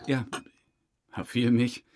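A steady, folksy country man in middle age played by an actor in a crime drama. He speaks at an ordinary male pitch, in short colloquial sentences with an amiable manner. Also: a little hiss or hum, lightly acted. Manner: unhurried, homespun, clipped colloquial sentences. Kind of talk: naturalistic crime radio drama dialogue.

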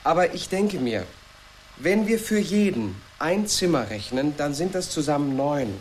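An actor playing an earnest, methodical architect who speaks considerately in a radio play. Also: male voice, fairly high pitch, very animated, a little slow, a little hiss or hum. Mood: eager, planning.

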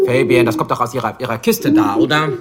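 An actor playing a curt, impatient police lieutenant interrogating suspects in a radio crime play. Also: male voice, mid-range voice, quick pace, over a noisy crowd, natural voice. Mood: irritated. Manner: curt, commanding. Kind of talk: German radio drama acting.

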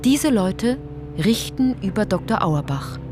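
A woman's voice: mid-range voice, sing-song, a little slow. An actress playing an indignant, principled woman in a radio play, narrating in an articulate, insistent, pointed way. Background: music.

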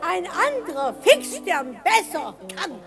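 A rebellious common wife in a radio play. The voice is piercingly high and theatrical, singing out slow, cheeky verse over clear music. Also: female voice, very animated, exaggerated voice. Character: cheeky rebellious married woman of the common folk.

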